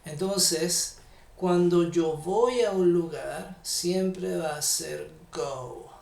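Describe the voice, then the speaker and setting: Man, Chilean Spanish accent, English teacher, patient and slow, gravelly voice, echoey distant mic. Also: on the high side, darkish tone. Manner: patient, repeats English examples slowly. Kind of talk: live online English lesson.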